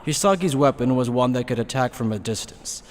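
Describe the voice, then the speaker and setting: A man's voice: mid-range voice, animated, a little fast, natural voice. A man narrating a scripted anime audio story as a sober storyteller. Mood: solemn. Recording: faint background noise.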